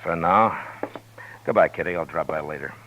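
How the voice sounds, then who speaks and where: An actor playing a steady, firm frontier marshal who speaks in a low, measured, understated way in a 1950s radio drama. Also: male voice, noisy background. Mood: probing. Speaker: lead and narrator. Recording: lo-fi old radio broadcast with music.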